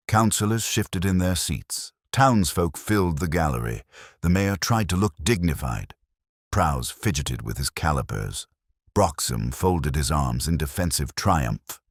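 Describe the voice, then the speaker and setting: A man's voice: a bass voice, husky, no echo, clean studio sound. A man reading scripted, dramatic narration of a detective story.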